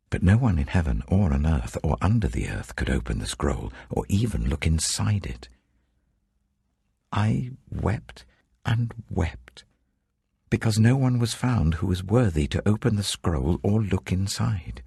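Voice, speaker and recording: Older male scripture reader: very deep, husky and gravelly, slow and solemn, building on proclamations; dry, close studio mic. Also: a little mellow, no room sound. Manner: measured, solemn delivery that swells for quoted proclamations. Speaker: Bible reader. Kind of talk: scripted audiobook reading of scripture.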